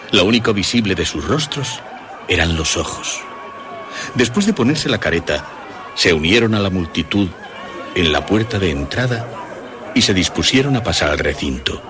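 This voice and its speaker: Very deep male storyteller, lively delivery. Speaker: narrator. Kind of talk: scripted suspense story narration. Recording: music behind the voice. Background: music.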